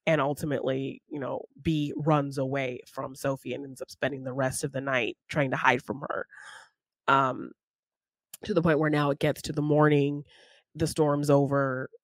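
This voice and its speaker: A woman's voice: rumbling low, little pitch movement, normal speed, dry recording. A woman co-hosting a podcast, casually retelling a film in an informal, conversational way. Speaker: podcast co-host.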